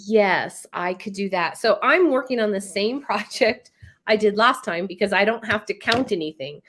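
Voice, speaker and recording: A woman's voice: mid-range voice, animated, normal speed, noisy background. Congested woman chatting on a live stream. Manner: chatty, rambling, laughs often. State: congested from a head cold. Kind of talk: casual live stream chat, reading viewer comments.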